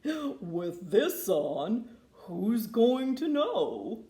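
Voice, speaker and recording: A woman's voice: fairly high pitch, sing-song, mellow, roomy sound, slight background noise, exaggerated voice. Woman voicing a bellowing, jovial bullfrog. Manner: bellowing, drawn-out, laughing delivery.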